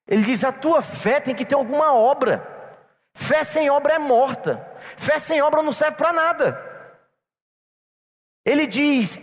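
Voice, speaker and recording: A man's voice: extremely high pitch, a bit bright. A man preaching a sermon to a church congregation, telling a personal story and calling them brothers. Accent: non-local Brazilian. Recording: long reverb in a church hall.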